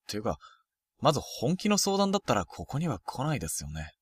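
A man playing a frank, proud, sporty first-year high school boy in a Japanese youth romantic comedy voice drama. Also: wildly swooping pitch, fairly quick, slightly rough, no room sound, studio quality. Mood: guarded, blunt.

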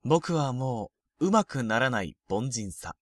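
An actor playing a cocky, flippant younger high-school boy who hides some hurt, in a youth romantic-comedy voice drama. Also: sing-song, unhurried, no echo, clean studio sound. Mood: cocky mocking. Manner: flippant, teasing, casual junior-to-senior speech.

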